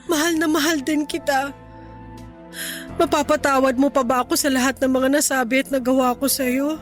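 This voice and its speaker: A woman playing a middle-aged, affectionate, remorseful mother in a radio drama, with a Filipino accent and a tender, pleading, expressive delivery. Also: high voice, moderate pace, close to an everyday voice. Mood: remorseful, tearful. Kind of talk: emotional radio drama dialogue. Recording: music bed under the dialogue.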